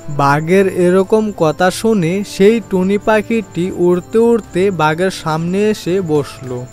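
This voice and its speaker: Slow, high-voiced male storyteller. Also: darkish tone, soft background music. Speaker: narrator. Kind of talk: scripted children's story narration.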